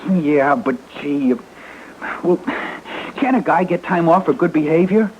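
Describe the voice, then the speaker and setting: A man's voice: on the high side, unhurried, darkish tone, breathy edge. A man playing a petulant, slangy sixteen-year-old boy in an old radio drama. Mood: pleading. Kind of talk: 1960s radio drama acting. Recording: dull vintage radio, faint music.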